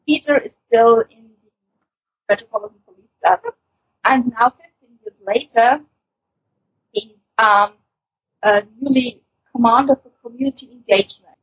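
A woman chatting casually as a podcast co-host, enthusiastic and speaking in halting phrases. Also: on the high side, breathy edge. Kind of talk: casual podcast chat, recommending audio stories. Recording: muffled, lo-fi voice recording.

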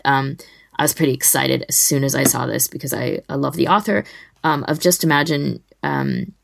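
A woman with a low voice full of gravelly creak talks casually as a podcast host. Her delivery is informal and enthusiastic, starting and stopping as she goes. The sound is dry, with no room echo. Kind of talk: casual chat, giving recommendations.

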